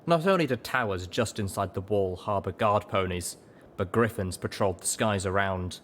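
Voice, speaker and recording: Male audiobook narrator: lowish pitch, expressive scripted read, noticeable background noise. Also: moderate pace. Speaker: audiobook reader and radio-style host.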